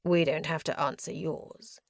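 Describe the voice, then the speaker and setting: A woman's voice: deep voice, fairly even intonation, a little slow, gravelly, no room sound, studio quality. A woman voicing a stern, protective man in an audiobook story, with a terse, firm way of speaking. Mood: curt.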